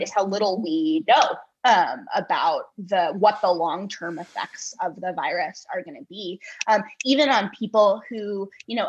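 A woman giving thoughtful interview answers in an earnest, halting way, repeating words as she reasons. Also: mid-range voice, husky, muffled recording. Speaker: guest author, poet and essayist.

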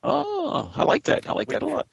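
Gravelly, playful male radio host. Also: very high-pitched, huge pitch swings, normal speed, no echo, clean studio sound. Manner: playful, rambling with fillers. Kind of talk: jokey radio show chat.

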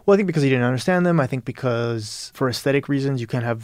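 A man's voice: medium pitch, fast, darkish tone, no room sound, studio quality. A man from Peru talking as an interview guest, rambling with many restarts and "you know". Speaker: interview guest, novelist and radio producer. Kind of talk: casual interview conversation.

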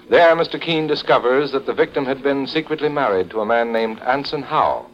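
A man announcing a mystery serial in scripted 1940s radio narration style. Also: mid-range voice. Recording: muffled vintage radio recording. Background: faint music.

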